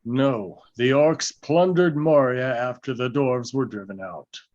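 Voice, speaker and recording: Man playing a slow, solemn old wizard. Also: medium pitch, a little mellow, lo-fi sound, natural voice. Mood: commanding. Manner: solemn, measured, with pauses. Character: weary, commanding old male wizard, grave and foreboding. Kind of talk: table read of a fantasy film script.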